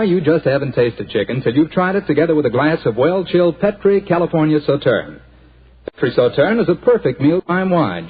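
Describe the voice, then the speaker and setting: Husky 1940s radio announcer. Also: male voice. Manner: hearty salesman pitch. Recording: tinny 1940s radio transcription.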